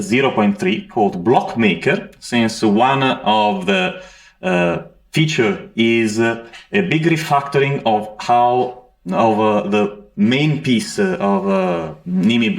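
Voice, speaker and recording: A man's voice: medium pitch, vocal fry. A man from Italy with an Italian accent presenting at a conference, hesitant with many fillers. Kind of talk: conference talk.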